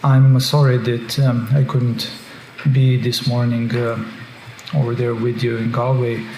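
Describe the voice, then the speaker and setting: A man's voice: mid-range voice, little pitch movement. Man giving a formal remote lecture. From Serbia. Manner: measured, formal, methodical. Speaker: guest lecturer, doctoral researcher. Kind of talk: prepared academic lecture. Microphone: joining by video call. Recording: echoey call audio with faint noise.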